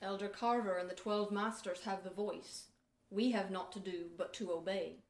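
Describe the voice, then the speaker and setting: A woman's voice: medium pitch, steady tone, moderate pace, close to an everyday voice. A woman acting an aggrieved but dutiful male Pilgrim passenger in a historical audio play, with earnest, rustic archaic speech. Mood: earnest, resigned. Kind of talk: amateur audio theater historical drama acting. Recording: echoey room.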